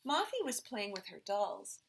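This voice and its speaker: A woman teacher reading a picture book aloud to young children in an expressive storytelling style. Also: mid-range voice, slight background noise. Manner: slow, expressive, addressing children directly.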